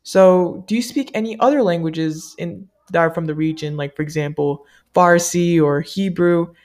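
A woman from the US, a native Malayalam speaker, asking interview questions in a polite, measured, rehearsed way. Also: on the low side, darkish tone. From United States. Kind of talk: scripted-sounding interview questions.

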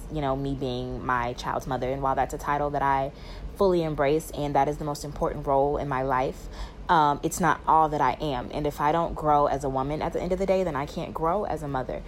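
A woman's voice: low-pitched, a little hiss or hum, low-quality recording. A nineteen-year-old woman from Atlanta, a young mother, speaking thoughtfully in a voice note. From Atlanta, Georgia. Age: young adult. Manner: thoughtful, plain-spoken. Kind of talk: personal voice memo.

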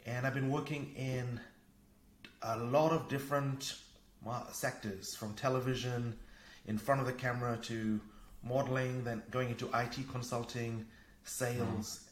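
A man from Fiji talks as a guest on a podcast. His voice sits at a middle pitch and he explains himself in a reflective, conversational way. The sound is roomy, with noticeable echo around the voice. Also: level tone, measured pace, a little hiss or hum. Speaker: podcast guest, consultant and coach. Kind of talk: conversational interview.

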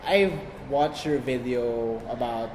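A man's voice: medium pitch, takes it slowly, darkish tone. A young Filipino man who grew up abroad, hosting a podcast and asking questions in casual chat. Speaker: podcast host, interviewer. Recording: echoey hall with clear background noise.

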